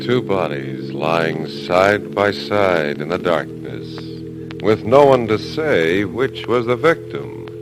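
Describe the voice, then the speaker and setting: Theatrical, slow, deep-voiced male radio announcer. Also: lively intonation, rough recording. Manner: theatrical, ominous. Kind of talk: 1940s radio thriller announcing. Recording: old radio recording with music.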